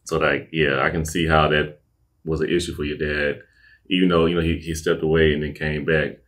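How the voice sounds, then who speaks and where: Young guy hosting a podcast, super deep low voice, easygoing, keeps saying okay okay. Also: flat delivery, brisk, fairly bright tone. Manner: laid-back, frequent affirmations like "okay, okay" and "I got you". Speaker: podcast host. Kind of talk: casual conversational interview.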